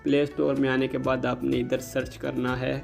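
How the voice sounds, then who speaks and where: A man's voice: medium pitch, monotone, moderate pace, creaky, faint music behind. A man hosting a casual app tutorial, politely addressing his viewers directly as he explains each step. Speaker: host of a tech tutorial channel. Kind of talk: casual scripted-style app tutorial narration.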